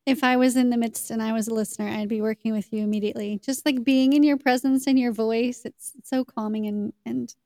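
A woman's voice: on the high side, steady tone, brisk, few pauses, warm tone, no room sound, studio quality. A woman hosting a podcast, enthusiastic and encouraging as she thanks her guest and lists takeaways. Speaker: podcast host. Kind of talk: podcast chat and summary.

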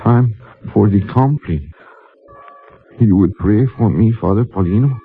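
A man playing a passionate, fatalistic young man in a 1950s radio drama, with a Spanish accent and halting, melodramatic phrasing. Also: on the low side, expressive. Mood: dying, resigned. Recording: noisy, dull 1950 radio recording with clicks.

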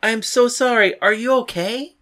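A woman's voice: on the low side, sing-song, takes it slowly, bright, forceful tone, heavily acted. A woman voicing a stubborn, earnest, hot-tempered young female fighter in an audiobook story. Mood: apologetic. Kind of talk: audiobook character dialogue.

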